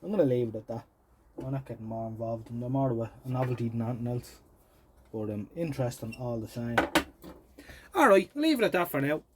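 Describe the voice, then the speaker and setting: An Irish man giving casual, informal commentary as he does hands-on repair work, muttering to himself in an unhurried way. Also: mid-range voice, animated, noisy background. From Ireland. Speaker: presenter of a vintage radio repair channel.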